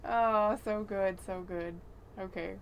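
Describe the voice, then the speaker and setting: A woman's voice: medium pitch, steady tone, slow, crowd noise, rough recording. A woman co-hosting a casual gaming chat over an online video call. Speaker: podcast co-host.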